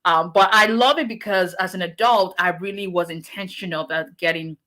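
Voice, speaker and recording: Bright-voiced woman chatting live. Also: fairly low pitch, a little fast. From Nigeria. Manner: chatty, greets viewers by name, encouraging and preacher-like. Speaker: immigration attorney. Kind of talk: casual livestream chat.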